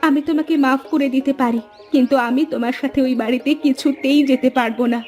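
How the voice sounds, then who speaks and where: A woman's voice: sits high, fairly even intonation, fast, a little mellow, faint music behind, lightly acted. A woman playing a wronged, wounded young village wife in a melodramatic cartoon. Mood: resolute.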